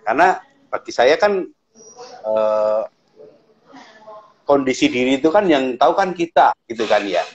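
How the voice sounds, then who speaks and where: A man's voice: mid-range voice, lively intonation, measured pace, pauses often, dull audio, a little hiss or hum. A man hosting a live online chat, asking hesitant, winding questions with many fillers. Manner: hesitant questions, frequent backchannel. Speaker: host.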